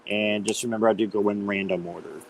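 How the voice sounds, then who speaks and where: A man with a slight cold and a hoarse voice, chatting casually as he hosts a live tarot stream and greets viewers by name. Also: on the low side, fairly even intonation, fast, slight background noise, low-quality recording.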